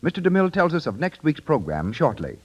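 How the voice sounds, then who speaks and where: A man's voice: a little high, huge pitch swings, fast talker, slightly rough, lo-fi sound. A man announcing credits on 1930s radio in a formal, scripted style. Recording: 1930s radio broadcast, faint audience noise.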